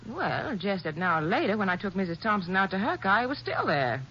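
An actress playing a loyal, concerned nurse, animated and solicitous, in a 1950s radio drama. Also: female voice, mid-range voice, a little fast. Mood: anxious. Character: loyal, concerned young-sounding office nurse. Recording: muffled old radio broadcast, faint noise.

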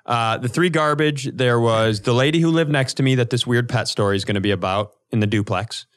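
A man co-hosting a comedy podcast, telling animated, casual stories and acting out the dialogue. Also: medium pitch, a little fast.

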